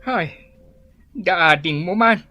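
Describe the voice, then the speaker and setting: A man's voice: high-pitched, slow, highs cut off. A man playing a fretful but fond older father in an Ilocano comedy radio drama, with an Ilocano accent. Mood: weary, grumbling.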